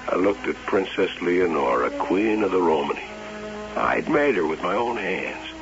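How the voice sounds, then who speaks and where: A man's voice: low voice, big pitch swings, measured pace. An actor playing an obsessive male carnival doll-maker in a radio drama, brooding and dreamy. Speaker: carnival barker. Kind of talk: 1970s radio drama acting. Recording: dull old radio broadcast with music.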